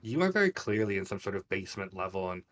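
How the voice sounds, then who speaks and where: A man's voice: sits low, big pitch swings, fast talker, a bit bright. Distant-miked man narrating a fantasy tabletop game. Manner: descriptive storytelling with dramatic detail. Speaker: game master. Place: live online game stream.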